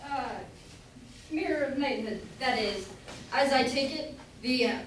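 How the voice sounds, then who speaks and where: A woman's voice: high-pitched, measured pace. Woman reading a courteous attendant, heavy echo. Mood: formal, courteous. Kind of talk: amateur staged reading of Jacobean comedy. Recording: very echoey room, distant mic, faint noise.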